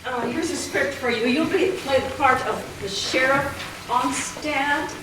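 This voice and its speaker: A woman's voice: sits high, measured pace. A woman directing a read-through, polite and hesitant with many fillers. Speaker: director casting the play. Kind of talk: casual organizing talk. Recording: distant mic, very echoey room, background noise.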